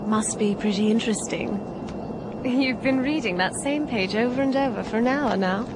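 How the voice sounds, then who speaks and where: Audio drama: female actor as nosy, talkative plane passenger, fairly high voice, very noisy cabin. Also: moderate pace, natural voice. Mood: curious, friendly. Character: chatty, inquisitive female airline passenger. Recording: loud vehicle cabin noise. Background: loud airplane cabin noise.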